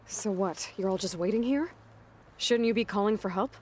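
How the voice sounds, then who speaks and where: A woman acting a self-reliant young warrior heroine in a video game. She has a medium-pitched voice and speaks directly, with quiet resolve. Faint ambient noise sits behind her. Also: normal speed, close to an everyday voice. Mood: resolute.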